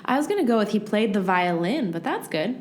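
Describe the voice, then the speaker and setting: A woman's voice: mid-range voice, very animated, a little fast, noisy background, close to an everyday voice. A woman playing a bold, commanding game master heroine in an audio drama, firm and dramatic. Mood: determined. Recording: roomy echo, music underneath.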